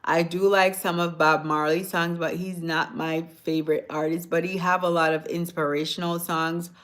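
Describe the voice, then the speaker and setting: Low-voiced soft-spoken woman. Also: little pitch movement, normal speed. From Jamaica. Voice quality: low, sometimes hoarse voice. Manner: chatty, reads and answers comments, soft-spoken. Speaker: livestream host. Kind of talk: casual livestream chat with viewers. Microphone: phone.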